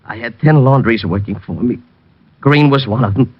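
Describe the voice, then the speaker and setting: A man acting a ruined, defeated crook in an old radio detective drama, with a gravelly, husky voice. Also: mid-range voice, moderate pace, natural voice. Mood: despairing, defeated. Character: ruined, defeated male crooked laundry racketeer. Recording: dull old radio recording.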